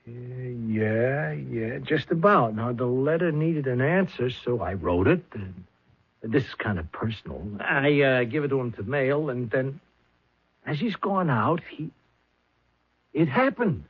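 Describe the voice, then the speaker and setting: An actor playing a flustered middle-aged talent agent who stammers and rambles, in a 1970s radio drama. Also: male voice, mid-range voice, lively intonation, a little slow, husky. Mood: uneasy. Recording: lo-fi old radio broadcast.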